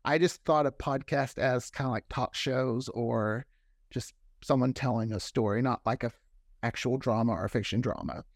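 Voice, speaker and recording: A man's voice: mid-range voice, moderate pace, no room sound, studio quality. A man chatting casually as an interview guest, telling stories and often voicing quoted remarks as he goes. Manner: animated storyteller, often acts out quoted remarks. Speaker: guest, audio drama creator.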